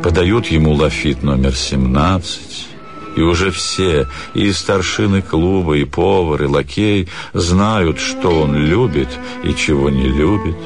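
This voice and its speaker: A man reading a literary story theatrically, with expressive, measured phrasing and dramatic pauses. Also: very deep voice. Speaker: actor reading a short story. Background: music.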